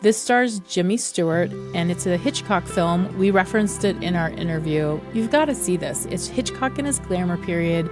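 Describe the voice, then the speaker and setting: A woman's voice: on the low side, animated, normal speed, few pauses, music in the background. A woman hosting a podcast, talking about films in a conversational, emphatic way. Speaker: podcast host. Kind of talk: film discussion and recommendations.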